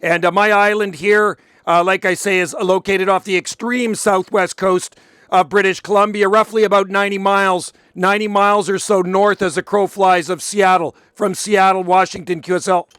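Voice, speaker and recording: A man's voice: sits high, little pitch movement, normal speed, fairly bright tone, clean tone, no echo, clean studio sound. A man making casual radio contact as an operator, chatty and full of radio jargon.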